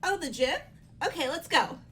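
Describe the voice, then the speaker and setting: A woman's voice: high-pitched, expressive, normal speed, soft background music, pitched above the performer's own voice. A woman voicing a cheerful, teasing teenage idol girl in a game. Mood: playful. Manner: bright, teasing, upbeat.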